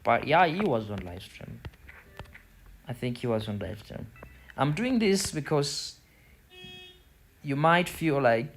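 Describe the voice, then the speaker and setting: A man's voice: mid-range voice, animated, slow, long pauses, slight background noise. Zimbabwean man talking through a game tutorial. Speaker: gaming video host. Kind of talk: casual tutorial commentary over football game screen.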